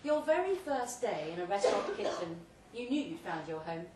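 A woman reads a short story aloud at a live event, delivering it theatrically in measured, deliberate phrases. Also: medium pitch, very animated. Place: pub event room, read aloud live. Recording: roomy, distant live recording with faint noise.

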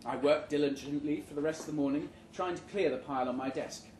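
Higher-voiced man reading in a roomy space. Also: fast, darkish tone. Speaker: short story reader. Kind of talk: live dramatic reading of satirical fiction. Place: indoor live literary event venue. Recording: roomy, noisy live venue recording.

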